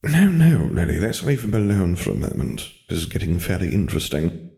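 A smug wizard companion from a fantasy storybook, performed by a man. The voice is fairly low with very animated intonation. He sounds amused and detached, like a wry observer. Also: fairly quick, creaky, full character voice.

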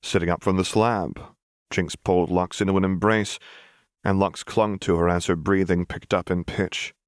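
A man with a low-pitched voice narrates fiction in an audiobook style. The reading is scripted, and the sound is dry with no room to it. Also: steady tone, moderate pace, no echo.

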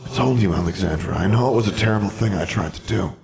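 A man's voice: fairly low pitch, fast, gravelly, reverberant, a crowd in the background, pitched above the performer's own voice. A man voicing the troubled ghost of a dead wizard, earnest and weary.

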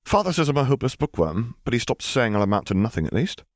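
An earnest young male graduate student, acted by a man reading an audiobook, chatty and a little sheepish. His voice sits higher than the narration and he tends to ramble self-deprecatingly. The sound is dry. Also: big pitch swings, fast talker, dry recording, close to an everyday voice.

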